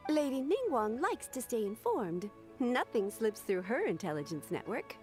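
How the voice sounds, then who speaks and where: A woman's voice: on the high side, very animated, normal speed, a little mellow. A woman playing a polite, composed secretary in an anime video game, speaking formally and courteously. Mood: welcoming. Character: polite, composed female secretary and intelligence aide. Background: faint game music.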